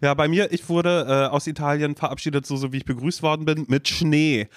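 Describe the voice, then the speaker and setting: A man co-hosting a casual podcast, talking in a relaxed, colloquial way with lots of filler sounds. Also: on the high side, brisk, no echo, studio quality. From northern Germany. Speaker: podcast co-host. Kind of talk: casual chat.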